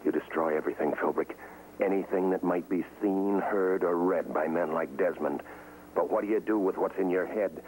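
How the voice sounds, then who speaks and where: Male actor for guarded, earnest undercover operative, 1950s TV drama. Low voice, terse, matter-of-fact. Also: moderate pace, a little mellow, close to an everyday voice, poor audio. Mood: tense, grave. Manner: clipped, serious, matter-of-fact. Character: guarded, earnest man working undercover inside a communist cell. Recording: old 1950s TV soundtrack, some noise.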